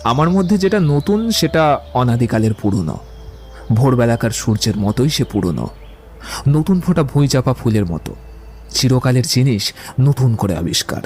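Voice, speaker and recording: A man's voice: mid-range voice, big pitch swings, normal speed, darkish tone. A man playing a witty, poetic young romantic in an audio drama, eloquent and playfully theatrical. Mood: witty, expansive. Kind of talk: dramatised audiobook dialogue acting.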